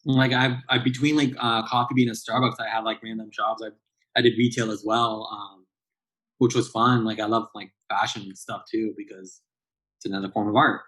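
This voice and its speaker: A man in his late thirties with a fairly low voice rambles through a casual interview. His talk is full of fillers and restarts as he works through his thoughts. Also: level tone, moderate pace, a bit bright, rough recording. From Southern California. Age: 30s. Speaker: barista guest.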